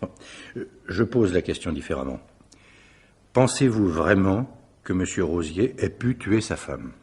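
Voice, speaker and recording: Low-voiced, shrewd male investigator. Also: very animated, measured pace, a little mellow, husky, full character voice. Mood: probing. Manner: polite, probing, dryly insistent. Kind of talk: French radio mystery drama acting.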